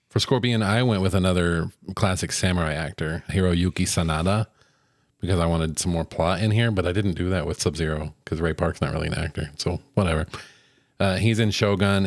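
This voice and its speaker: A man with a deep voice co-hosts a movie podcast in casual back-and-forth banter. The sound is dry, with hardly any room on it. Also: no room sound. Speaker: podcast co-host reviewing films. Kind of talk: casual film-review banter.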